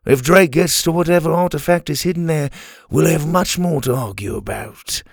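A man's voice: a little high, moderate pace, vocal fry, no echo, clean studio sound, pitched above the performer's own voice. A man voicing a bold, protective swordsman in an adventure storybook, firm and challenging. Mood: firm, coaxing.

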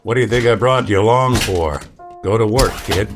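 An actor playing a weary, wry, hard-boiled synthetic detective with a noir delivery in an old-time radio drama. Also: male voice, lowish voice, moderate pace, loud music behind the voice, close to an everyday voice. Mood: wry, menacing.

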